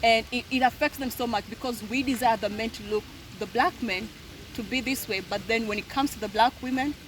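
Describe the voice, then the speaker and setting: A woman co-hosting a podcast in casual chat, her voice sitting a little high. She talks with animated emphasis, restarts and repeats words to drive a point home, and her recording carries clear background noise. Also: fairly quick. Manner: animated storyteller, acts out quoted voices. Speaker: podcast co-host.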